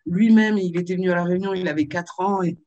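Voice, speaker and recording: A woman in her fifties from Marseille, telling her life story in a video-call interview, with frequent pauses and fillers. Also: lowish voice, fairly even intonation, warm tone, dull audio. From Marseille, France. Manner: hesitant, with many fillers and restarts. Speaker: author and interview guest.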